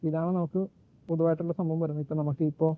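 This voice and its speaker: A man giving a casual, unscripted gadget walkthrough in a halting way, searching for words and correcting himself. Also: fairly high pitch, little pitch movement. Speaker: gadget reviewer and demonstrator. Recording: muffled phone-like narrow-band sound.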